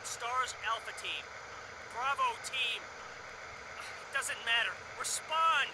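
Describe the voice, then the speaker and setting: An actor playing an anxious police helicopter pilot in a video game, calling urgently and pleadingly over the radio. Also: male voice, very high voice, slow pace. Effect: radio transmission. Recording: very noisy radio with engine roar. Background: loud vehicle engine noise.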